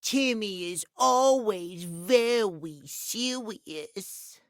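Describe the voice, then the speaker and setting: A man's voice: sits high, expressive, drawn-out words, fairly bright tone, no room sound, studio quality, exaggerated voice. A man voicing a vain, needy, childish male cat clock in a video game, using pouty third-person baby talk. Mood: coy, sleepy. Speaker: voice actor. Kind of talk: video game character acting.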